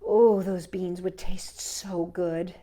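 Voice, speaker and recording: A woman's voice: fairly low pitch, steady tone, slow, dark tone. A woman hosting children's storytime, reading picture books aloud with enthusiastic, chatty asides to young viewers.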